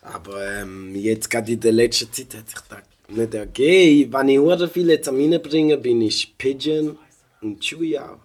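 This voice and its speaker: A young man from Switzerland with a Swiss German accent, a rapper guest who talks in a relaxed way and drops English phrases into his German. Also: mid-range voice, moderate pace. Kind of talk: casual interview chat.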